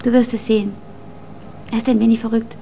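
A woman's voice: a little high, little pitch movement, a little fast, vocal fry, dark tone, a little hiss or hum, poor audio. An actress playing a guarded, faintly reproachful woman in a naturalistic radio drama. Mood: guarded, wry.

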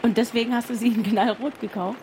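A fast female voice, an actress's as a casual guest at a party in radio comedy. Her delivery is natural and improvised. Clear ambient noise surrounds her. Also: a little high, fairly even intonation, a little mellow, lightly acted. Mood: casual, curious.

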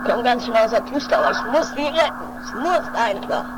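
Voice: mid-range voice, animated, close to an everyday voice. Someone acting out a nervous climber in a homemade radio play, muttering and blaming themselves, lots of noise behind. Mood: strained, urgent. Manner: muttering, halting, repeating words. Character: anxious, self-reproaching mountaineer rescuing a fallen companion. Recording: noisy homemade 1980s radio play recording.

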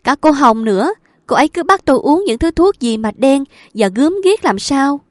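A woman voicing a distraught young woman in a hospital who pleads, high-pitched and loud, for her lost love, in an audiobook reading. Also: animated, unhurried, dry recording, studio quality. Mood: agitated.

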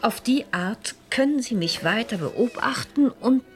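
An actress playing a composed, persuasive French madame who speaks and narrates in a measured way in a radio crime drama. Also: female voice, a little high, lively intonation, soft background music. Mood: coaxing, assured. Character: composed, persuasive French madame recounting her own mystery.

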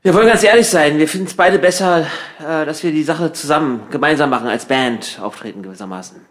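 A man's voice: fairly high pitch, quick pace. A man in a conversational comedy sketch, speaking in a matter-of-fact, skeptical way. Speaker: member of a comic radio play trio.

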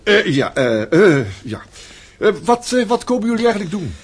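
High-pitched, dithering gentleman. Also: moderate pace, slightly rough, heavily acted. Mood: genial, flustered. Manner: stammering, distracted, excitable. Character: scatterbrained, genial gentleman amateur composer. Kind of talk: radio drama acting.

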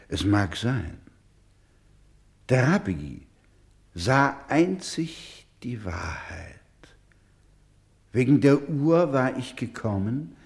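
A man acting a solemn, proud storyteller in a radio play, speaking gravely and deliberately, with emphatic swells and hushed drops. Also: medium pitch. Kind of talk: slow, dramatic radio-play narration.

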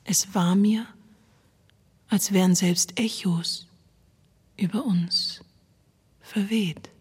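A woman performing a literary poetry recitation in a measured, deliberate way with dramatic pauses. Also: mid-range voice, fairly even intonation, a little mellow, husky, no echo. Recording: music behind the voice. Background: music.

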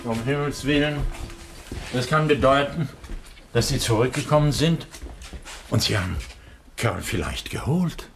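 A man's voice: mid-range voice, animated, moderate pace, long pauses, a bit bright, soft background music. A worried middle-aged father and doctor, voice rising and falling a lot, fretful, old radio play. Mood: anxious. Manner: anxious, fretful. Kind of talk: 1960s German radio play acting.

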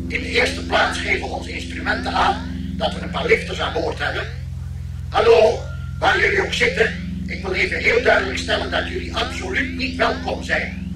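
Over heavy noise and from some distance, a surly alien starship captain barks out a shipboard announcement. The voice is pitched high, bitter and full of self-importance, in radio drama style. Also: male voice. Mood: bullying, annoyed. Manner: stern, barking, self-important.

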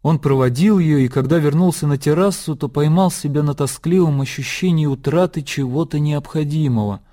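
A man narrating a literary radio play in a measured, composed storytelling manner. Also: a little high, mellow. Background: music.

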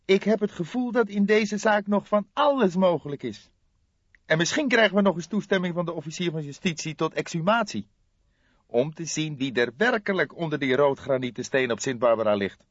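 A man's voice: fairly high pitch, lively intonation, fairly quick, no echo, muffled recording, voice pushed up. A man voicing a younger male police detective sidekick, nonchalant, eager and matter-of-fact, in an audiobook. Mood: keen speculation.

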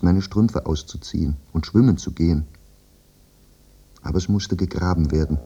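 An actor playing a maimed, anguished soldier, speaking a brooding, plaintive and macabrely frank monologue in a radio drama. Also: male voice, low-pitched, warm tone, slight background noise. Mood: wistful, sorrowful. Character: maimed, anguished male war soldier confessing his fate. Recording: muffled radio drama recording, music behind.

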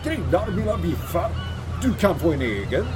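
German sub captain, pompous n flirty, highish voice that swings all over, comic radio play. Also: male voice, big pitch swings, over music, a crowd in the background. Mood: flirtatious, boastful. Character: pompous, lecherous German U-boat captain with eye patch. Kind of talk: comic audio drama acting.

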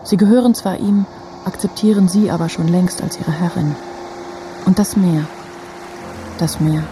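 A woman narrating a radio drama in a measured, literary, repetitive manner. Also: lowish voice, background noise, natural voice. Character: detached female storyteller linking scenes. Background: music.

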